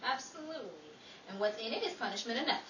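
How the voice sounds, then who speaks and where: A woman playing a dry, gently mocking friend who teases, in an old-time 1940s radio comedy style. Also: a little high, expressive, fast talker. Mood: dry teasing. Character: Rachael, dry, teasing woman among bumbling friends. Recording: echoey, distant, noisy recording.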